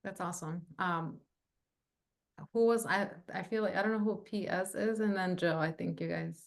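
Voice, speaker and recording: A woman's voice: lowish voice, fairly even intonation, moderate pace, vocal fry. A woman leading a training session over a video call, chatty, with lots of fillers and "right?". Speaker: workshop facilitator.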